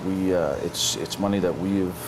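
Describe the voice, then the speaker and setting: Very deep-voiced man, halting. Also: background noise. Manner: halting, many "um"s and restarts. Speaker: inmate, chairman of a lifers group. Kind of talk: documentary interview answers.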